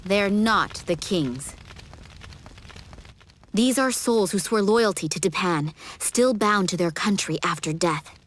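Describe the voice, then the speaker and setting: An actress playing a stern, composed warrior princess in a video game, speaking in a formal, measured and authoritative way. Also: female voice, medium pitch, animated. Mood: composed, grave. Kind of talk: video game cutscene acting. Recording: noisy game audio with background ambience.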